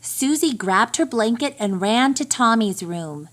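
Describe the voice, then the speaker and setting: A woman with a fairly high voice reads a picture book to young children. Her pace is very unhurried and her pitch moves in lively swoops. She tells the story expressively and deliberately. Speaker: storybook reader.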